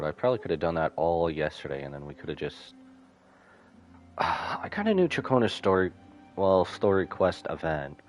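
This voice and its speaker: A man casually commentating on a livestream as a game streamer, rambling and loosely thinking aloud. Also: low voice. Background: music.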